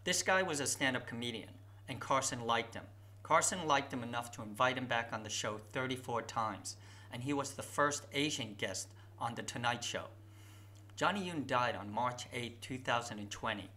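A man's voice: mid-range voice, moderate pace, pushed and bright. A man in his fifties, a YouTube host, narrating a reflective, personal video essay.

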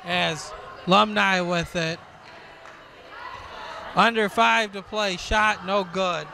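A man doing live play-by-play of a school basketball game, calling plays in slow clipped bursts with occasional shouts. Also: sits high, fairly even intonation, gravelly, noisy background. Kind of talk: live sports commentary. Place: school gym with crowd. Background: crowd and bouncing ball.

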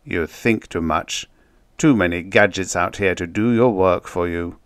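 A man's voice: sits low, unhurried, clear, resonant tone, no echo, clean studio sound. A man voicing an earnest, well-meaning spaceman who is insistent and plain-spoken, in a story reading.

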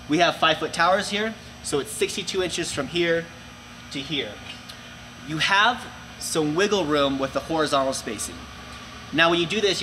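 A young man presenting for a company, giving clear step-by-step instructions in a large indoor room. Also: on the high side, pushed and bright, slight background noise, rough recording. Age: young adult. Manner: clear step-by-step explaining with hand gestures. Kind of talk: instructional how-to video.

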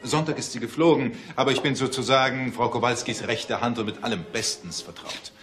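An actor in a radio drama playing an unctuous, over-polite and pompous matchmaker's assistant. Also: male voice, mid-range voice, a little fast, a bit bright, close to an everyday voice. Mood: oily, reassuring. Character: unctuous, chatty male matchmaking agency assistant. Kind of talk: German radio drama acting. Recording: music behind the voice.

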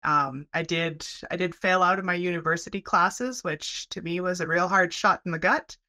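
A woman in her thirties from Canada talks through a headset on a video call, telling her story in relaxed podcast chat. Her voice sits a little low but has a bright, forceful edge. She is friendly and laughs easily. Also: moderate pace. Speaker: guest, welding program head. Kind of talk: casual interview chat. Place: home room, video call.

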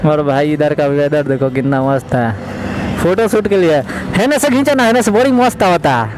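A young man gives chatty, excited commentary while riding a motorbike, his phone mic right at his mouth. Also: fairly high pitch, moderate pace. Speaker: motovlogger. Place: on a motorbike on an open highway. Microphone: phone mic pressed close to mouth. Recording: loud wind and road noise.

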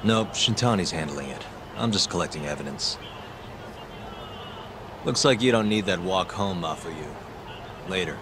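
A man's voice: low-pitched, expressive, moderate pace, long pauses, noisy background. A man playing a cool, defiant investigator in a video game, talking in a curt, casual, needling way. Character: cool, defiant male investigator and former lawyer. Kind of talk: English-dub video game cutscene acting.

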